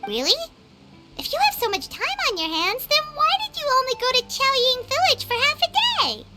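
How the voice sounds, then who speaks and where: Tiny, extremely squeaky cheeky creature. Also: female voice, sing-song, moderate pace, over loud music. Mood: curious, cheeky. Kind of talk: video game voice acting.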